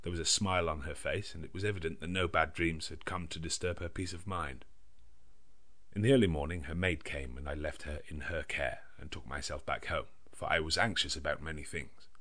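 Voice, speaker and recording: A man narrating a gothic novel in a measured, clearly enunciated literary manner. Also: a bass voice, pushed and bright. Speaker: audiobook narrator. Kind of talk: scripted narration of a gothic novel in diary form.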